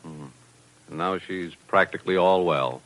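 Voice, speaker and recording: An actor playing a calm, inquisitive guest with a laconic, measured manner in 1940s radio drama style. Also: male voice, sits low. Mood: probing. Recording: noisy old radio recording.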